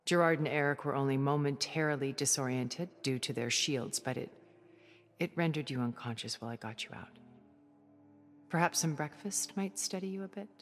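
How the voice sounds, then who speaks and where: Game casting: actress as cultured immortal woman, low register, genteel, seductively persuasive, coolly superior; faint score underneath. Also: lightly acted. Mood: smooth, composed. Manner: formal, genteel, measured phrasing. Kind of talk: cinematic video game cutscene acting. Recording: background music under the dialogue.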